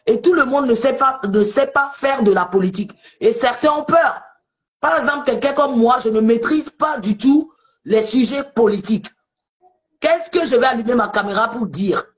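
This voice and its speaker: A woman's voice: fairly high pitch, normal speed. A woman from Togo hosting a casual live debate, chatty and emphatic, often repeating herself. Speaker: live show host.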